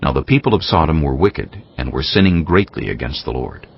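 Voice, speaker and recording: A man narrating scripture dramatically from a script, in a solemn, deliberate storytelling manner. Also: rumbling low, expressive, vocal fry, muffled recording, a little hiss or hum. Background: music.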